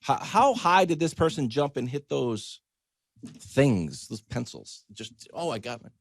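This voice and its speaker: A man's voice: mid-range voice, unhurried, no room sound, clean studio sound. A man hosting a sports talk show, telling stories in a conversational, comedic and emphatic monologue. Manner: emphatic, animated, acts out quoted exchanges.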